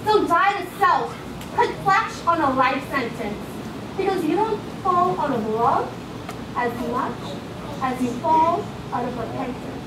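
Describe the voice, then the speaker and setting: A woman delivers a rhymed, sermon-like poem in a strikingly high voice. Her delivery is emphatic and rhythmic. She is heard from far off in an echoey room with clear background noise. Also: expressive, measured pace. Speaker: spoken word poet at a church. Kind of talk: rhymed spoken word poetry recital, sermon-like. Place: church, live before a congregation.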